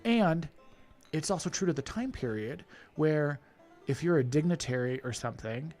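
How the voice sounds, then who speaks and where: A man co-hosting a podcast, telling animated stories about playing characters at a festival and laughing often. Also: on the high side, normal speed, faint music behind. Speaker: podcast co-host and festival performer. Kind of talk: casual podcast chat.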